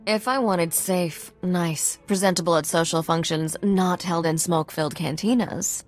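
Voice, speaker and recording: Low-pitched, wary starship crewwoman played by a woman. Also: steady tone, normal speed, faint music behind, natural voice. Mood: exasperated. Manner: earnest, sardonic. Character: sharp, cautious female starship crewmate of the captain. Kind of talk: video game cutscene acting.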